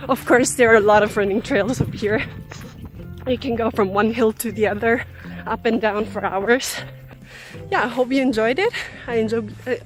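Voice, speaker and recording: A woman from Austria with an Austrian German accent, chatting outdoors as she runs trails and guides a tour. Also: fairly high pitch. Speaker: runner guiding a trail tour. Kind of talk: casual walk-and-talk to camera. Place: outdoors, forest trails and park.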